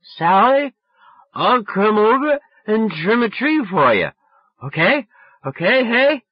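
A man voicing a drunk teenage boy on a late phone call, pleading insistently and repetitively in slurred yells, as in an audiobook. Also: sits high, very animated, slow pace, husky, no echo, voice pushed up, heavily acted. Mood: drunken pleading.

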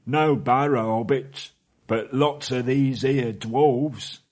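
A man voicing a loud, quarrelsome male troll with a gruff, husky, coarse drawl. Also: medium pitch, steady tone, slow, pitched above the performer's own voice.

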